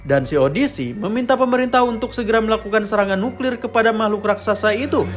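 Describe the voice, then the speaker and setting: A man's voice: sits high, fast, music in the background. A man narrating a scripted explainer of a horror story. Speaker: narrator of a film lore channel.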